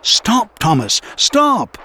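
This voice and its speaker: Man playing storybook train driver, firm, patient, instructing, lively intonation. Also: high voice, extremely slow, a little hiss or hum, pitched above the performer's own voice, exaggerated voice. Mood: angry.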